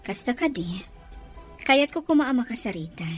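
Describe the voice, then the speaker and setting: A woman's voice: on the high side, lively intonation, moderate pace, husky, soft background music. A woman acting in a radio drama as a suspicious, determined lady probing for answers. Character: suspicious, determined lady questioning her brother's caregiver.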